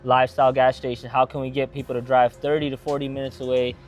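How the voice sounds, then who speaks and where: A young man from Atlanta talks through his family business in a relaxed, eager ramble, full of "like" and "you know". His voice sits at an ordinary middle pitch. Faint music plays under the conversation. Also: quick pace, lo-fi sound. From Atlanta, Georgia. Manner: eager, rambling storytelling with many fillers. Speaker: gas station and restaurant owner. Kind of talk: casual interview answers.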